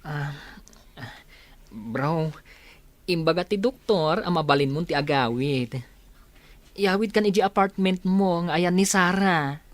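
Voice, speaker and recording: A woman's voice: on the low side, slow pace, long pauses, no echo. A woman playing a caring, practical sister in a radio drama, with a coaxing, sometimes hesitant manner. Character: caring, practical woman looking after her injured brother. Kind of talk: Filipino radio drama acting.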